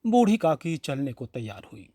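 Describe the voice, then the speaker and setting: A man's voice: mid-range voice, big pitch swings, moderate pace, mellow, no echo. Man narrating, closely recorded and dry. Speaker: storyteller, narrator. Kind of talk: expressive scripted story narration.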